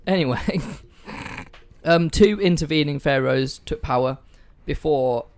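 A man's voice: fairly high pitch, takes it slowly. A man hosting a casual history podcast from home, joking about himself and swearing now and then, on a poor-quality mic. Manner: jokey, self-deprecating, swears casually. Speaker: podcast host. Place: room at home with pets and food on the table.

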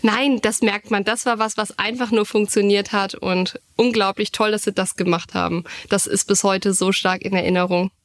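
A woman's voice: medium pitch, fairly even intonation, moderate pace, hardly any pauses, no echo, clean studio sound. A woman chatting casually and enthusiastically as a podcast co-host, with a gushing way of talking.

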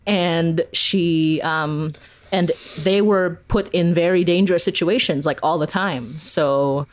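Chatty, lower-voiced woman in interview. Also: steady tone, slow pace. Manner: peppers talk with "like", chuckles while explaining. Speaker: interview guest, audio fiction creator. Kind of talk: casual, conversational interview answers.

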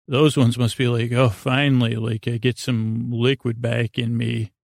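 Creaky-voiced man rambling softly at bedtime. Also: medium pitch, little pitch movement, no echo, studio quality. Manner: mellow, lulling, halting with many tangents. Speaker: host of a bedtime sleep podcast. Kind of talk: rambling, meandering bedtime monologue.

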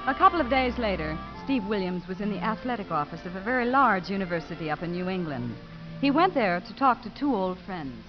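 A man's voice: sits high, big pitch swings, brisk. A man narrating a 1950s radio drama in a scripted storytelling style. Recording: muffled 1950s radio recording over music. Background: music.

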